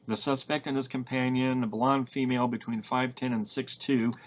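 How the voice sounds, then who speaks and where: A man's voice: mid-range voice, fairly even intonation, moderate pace, close to an everyday voice. A man reading as a naive, wisecracking fugitive guy. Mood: wry.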